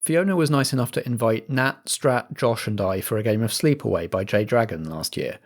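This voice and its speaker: A man's voice: fairly low pitch, moderate pace. A man acting as podcast compere, reading announcements in chatty theatre patter. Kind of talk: friendly programme announcements read to listeners.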